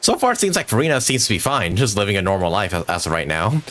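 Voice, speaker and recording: A man's voice: fairly low pitch, big pitch swings, fast talker, a bit bright. A man giving casual live gameplay commentary as a streamer, chatty and rambling, with a lot of "like". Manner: chatty, rambling, chuckles often, says like a lot. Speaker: game streamer. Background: game music.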